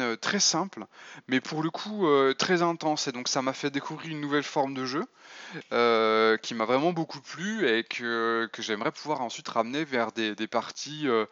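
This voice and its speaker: A man talks through his thoughts at a middle pitch, pausing often on fillers and restarting his phrases. The sound is dry, with no room in it, and a little muffled at the top. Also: a bit bright, clear, resonant tone, dry recording. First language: French. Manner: hesitant, many fillers and restarts. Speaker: podcast host. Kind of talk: casual spoken essay on role-playing.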